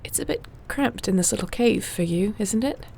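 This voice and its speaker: A woman playing a gentle, wry warrior shifter with healing magic in an intimate fantasy audio roleplay, speaking softly and soothingly without hurry. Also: mid-range voice, animated, moderate pace, gravelly, a little hiss or hum. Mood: tender, concerned.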